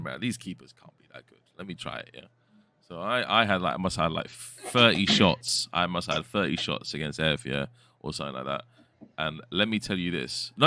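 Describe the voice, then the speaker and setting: A man's voice: deep voice, sharp tone, no echo. A man co-hosting a live futsal stream, chatting casually and reading viewer comments aloud. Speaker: host and co-commentator.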